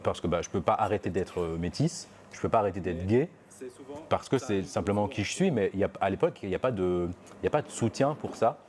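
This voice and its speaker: A man's voice: sits low, lively intonation, quick pace, a little mellow. A young man giving a personal testimony, reflective and hesitant, with many fillers and restarts. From Belgium. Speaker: interviewee.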